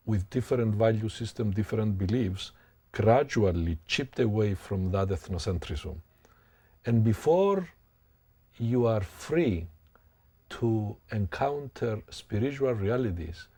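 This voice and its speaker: A man, a professor thoughtfully recounting his life in an interview, pausing to find words. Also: on the low side, warm tone, husky. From Greek background. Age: 60s. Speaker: sociology professor. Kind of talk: interview, reflective personal account.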